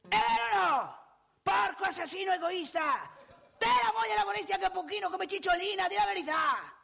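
A woman's voice: a little high, a little slow, bright, forceful tone, soft background music, exaggerated voice. A woman playing a cantankerous, opinionated old married man in a theatrical comic monologue, with a northeastern Italian accent, scolding and haranguing in rhetorical questions. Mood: furious.

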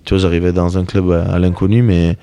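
A man's voice: deep voice, flat delivery, quick pace, mellow, clean tone. A young man whose first language is Basque, a rugby player answering interview questions in a casual, hesitant way with many fillers.

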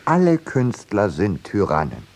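An actor playing an urbane, coolly polite artist with dry, understated irony in a 1950s radio play. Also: male voice, lowish voice, wildly swooping pitch, unhurried, a little mellow, heavily acted. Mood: dry, courteous. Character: urbane, dryly ironic male artist, coolly polite schemer.

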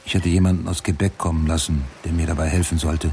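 Deep-voiced solitary farmer. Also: male voice, little pitch movement, quick pace, a little mellow, slight background noise. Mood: reflective. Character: solitary, hard-working farmer recalling his labours. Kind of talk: reflective radio drama narration.

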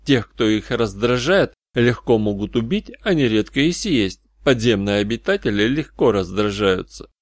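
A man narrating a first-person fantasy detective audiobook in a measured, literary storytelling manner. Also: on the low side, animated, no echo, clean studio sound.

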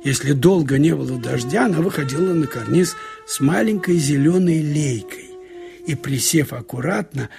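A man reads literary prose as a dramatic audiobook narrator. His voice is slightly high and quite gravelly, and he tells it conversationally with wry, expressive asides. Faint music sits behind the reading. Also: moderate pace.

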